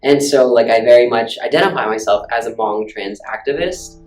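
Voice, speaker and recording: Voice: medium pitch, moderate pace, a little mellow, reverberant, poor audio. A student activist from Alaska, speaking frankly and thoughtfully in a personal interview. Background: faint guitar music.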